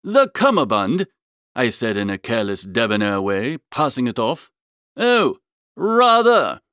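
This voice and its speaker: A man's voice: mid-range voice, huge pitch swings, measured pace, a bit bright, smooth, no echo, studio quality. A man reading a first-person comic story as an audiobook, with a breezy, theatrical manner. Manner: breezy, theatrical, old-fashioned upper-class idiom. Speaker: audiobook reader.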